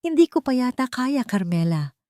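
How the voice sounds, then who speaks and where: A woman's voice: high-pitched, very animated, moderate pace, darkish tone, no echo, studio quality, pitched above the performer's own voice. A woman narrator voicing a hesitant, guilt-ridden male doctor in a dramatic audio story, uneasy and resigned. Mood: fearful.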